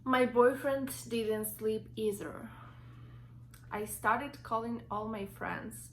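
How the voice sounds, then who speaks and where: A woman's voice: on the high side, fairly even intonation. Ukrainian girl in her 20s, reads her story off her phone, stops a lot, um uh, bit of noise behind. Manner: halting, with many fillers and restarts. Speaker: vlogger. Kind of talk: reading a personal story from notes.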